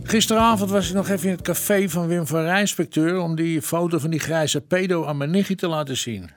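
A man's voice: fairly high pitch, brisk, faint music behind, lightly acted. A man playing a folksy, plain-spoken police detective who defers to his inspector in a radio drama. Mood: eager, puzzled.

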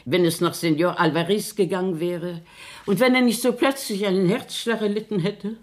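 A woman's voice: mid-range voice, steady tone, normal speed, natural voice. An older woman acting a proud, bossy, worldly grandmother in a German radio play, with a haughty, theatrical, emphatic delivery. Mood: bitter.

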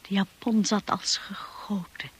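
An actress playing a timid, earnest young wife who tells her own story in a radio drama, with a husky, breathy voice. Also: mid-range voice, steady tone, measured pace, whispered. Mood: wistful narration. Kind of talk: radio drama acting and first-person narration.

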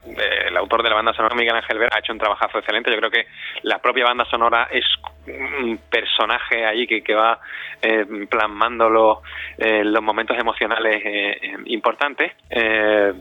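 A man's voice: lowish voice, little pitch movement, no echo. A man speaking spontaneously as an interview guest, hesitant and searching for words. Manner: hesitant, searching, with many pauses. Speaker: audio drama director, interview guest. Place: radio studio. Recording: dry, slightly dull radio studio sound.

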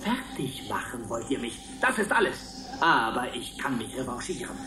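A man's voice: mid-range voice, very animated, normal speed, echoey room, over music, natural voice. A man playing a touchy, exasperated supervisor in a radio drama, with a scolding, indignant manner. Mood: offended, vengeful.

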